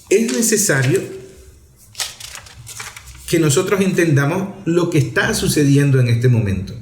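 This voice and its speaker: A man with a Venezuelan accent giving political commentary in an emphatic, rhetorical way, pausing deliberately between phrases. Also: fairly high pitch. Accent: Venezuelan Spanish. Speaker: political commentator on an online program. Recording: echoey, reverberant room.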